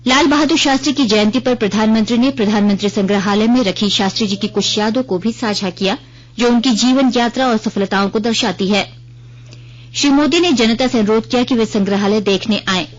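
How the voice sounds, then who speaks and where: A woman reading a scripted radio news bulletin in a formal, measured way. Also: on the high side, dull audio.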